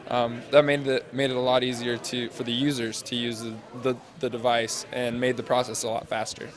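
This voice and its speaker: Young man, medium pitch, casual student interviewee, halting explanatory phrasing, handheld mic. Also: level tone, crowd noise. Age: 20s. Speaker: interviewee, senior engineering student presenting a project. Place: large glass-walled university hall or lobby. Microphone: handheld interview microphone held out to him.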